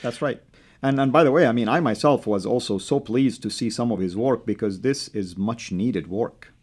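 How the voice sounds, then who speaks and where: A man born in Saudi Arabia, Arabic his first language, who now speaks with an American accent. He hosts a studio discussion in a measured, unhurried way, filling pauses with ums and uhs. His voice sits at an ordinary middle pitch. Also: darkish tone. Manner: measured, with frequent fillers. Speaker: host.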